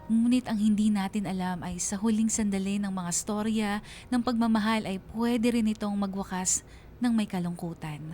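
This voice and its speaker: A woman's voice: medium pitch, steady tone, moderate pace, mellow, close to an everyday voice. A woman playing a reflective, bereaved young woman narrating her own love story in a radio drama. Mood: sad, reflective. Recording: music behind the voice.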